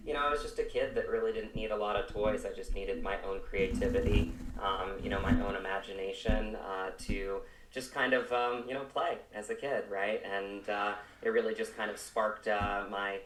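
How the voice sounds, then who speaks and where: Echoey, low-voiced man in casual interview. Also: steady tone, a bit bright, recorded from a distance, a little hiss or hum. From Virginia, United States. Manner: halting, peppered with you know. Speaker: actor, podcast guest. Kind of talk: casual conversational interview answers. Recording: roomy echo, away from mic.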